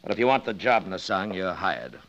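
A man acting a resolute expedition leader narrating a Himalayan adventure in a dramatic, deliberate 1950s radio style. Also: low voice, sing-song, fairly bright tone, no room sound, muffled recording. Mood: resolute, businesslike. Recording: vintage radio recording with music.

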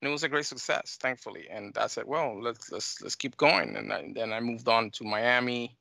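A man with a mixed Dominican and American accent talks as an interview guest. His voice is creaky and bright at an average pitch, and he phrases things haltingly in a very dry recording. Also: dry recording. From United States and Dominican Republic. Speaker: talk show guest, flight sim scenery developer. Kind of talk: conversational interview answers.

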